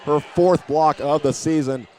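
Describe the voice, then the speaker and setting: A man calling live high school basketball play-by-play as a broadcaster from inside a gym. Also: fairly even intonation, a little slow, a little mellow. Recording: faint gym noise behind the voice.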